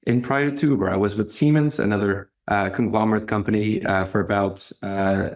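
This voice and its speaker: Halting Portuguese-accented man, low voice. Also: fairly even intonation. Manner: halting, many ums and uhs, repeats words. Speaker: marketing executive guest. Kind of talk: interview answers.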